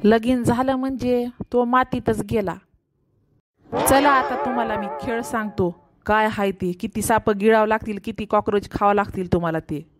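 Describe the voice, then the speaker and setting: A woman plays a domineering male host of a cartoon stunt show. The voice sits high, with a rural Marathi accent. He bullies and mocks gruffly over faint music. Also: fairly even intonation, moderate pace, close to an everyday voice. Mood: grumbling. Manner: gruff, mocking, bullying banter. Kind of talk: comedy cartoon voice acting.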